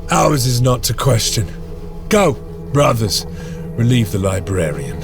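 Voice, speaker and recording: A man's voice: medium pitch, big pitch swings, measured pace. A man playing a stoic, weary veteran space marine sergeant, solemn and formal in dramatic game narration. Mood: commanding. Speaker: character narrator. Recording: dramatic music behind the voice.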